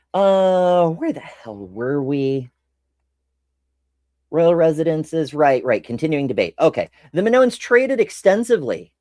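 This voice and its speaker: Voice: medium pitch, a little mellow, no echo, studio quality. An American host giving casual history commentary, rambling and self-interrupting with casual swearing. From United States.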